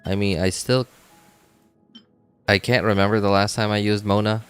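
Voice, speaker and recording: A man's voice: on the low side, level tone, normal speed, fairly bright tone, smooth, faint music behind. A man chatting casually on a game livestream, thinking aloud in a rambling way and often repeating himself. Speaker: game streamer. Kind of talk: casual livestream chat while playing.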